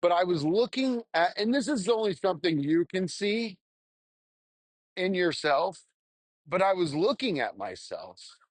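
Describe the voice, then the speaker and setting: A young adult man, a stand-up comedian, rambling casually and candidly in a halting, self-reflective way with some casual swearing. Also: sits high, sing-song, dry recording. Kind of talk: casual rambling monologue, audio journal.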